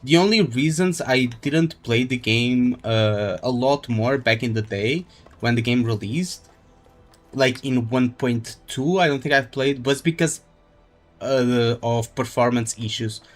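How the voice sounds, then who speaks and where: Slow, halting man chatting on stream. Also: medium pitch, soft background music. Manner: rambling, halting, thinking aloud. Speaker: live streamer. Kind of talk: casual gaming stream chat.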